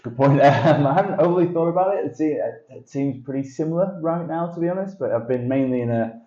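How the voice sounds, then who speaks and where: A man's voice: on the high side, fast, dark tone. A man, a podcast guest talking casually and self-deprecatingly about his path. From northern England. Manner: chatty, self-deprecating, with frequent restarts and light laughs. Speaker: guest, sales director. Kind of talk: casual interview conversation. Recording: dull lo-fi sound in an echoey room.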